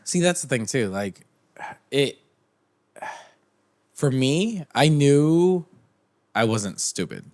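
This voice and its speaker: A man's voice: mid-range voice, expressive, takes it slowly, long pauses, darkish tone. A young man talking as a podcast guest in casual chat, rambling with many restarts and fillers. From United States.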